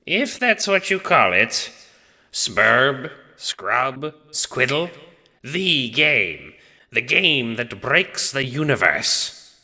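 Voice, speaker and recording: Audio drama role: big dim lug of a death god played by a man, pitched up, swooping, bitter and crude, heavy reverb. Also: very animated, extremely slow, bright, forceful tone, exaggerated voice. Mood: irritated, blunt. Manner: blunt, slangy, casually profane.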